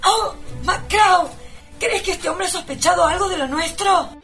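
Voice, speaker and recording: An actress playing a naive, adoring secretary and secret mistress in an overwrought, cooing radio melodrama parody. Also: female voice, very high voice, unhurried, fairly bright tone, faint music behind, heavily acted. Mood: plaintive.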